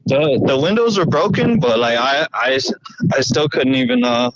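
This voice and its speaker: A man on a phone call, recounting what he saw in a halting, repetitive, slangy way. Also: mid-range voice, big pitch swings, no echo. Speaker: eyewitness phone caller. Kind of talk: casual recounting.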